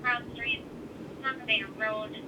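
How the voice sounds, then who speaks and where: A woman's voice: a little high, little pitch movement, unhurried, sharp tone. Woman dispatcher over a noisy radio. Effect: two-way radio through a scanner speaker. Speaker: police and fire dispatcher. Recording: noisy scanner radio heard from a distance.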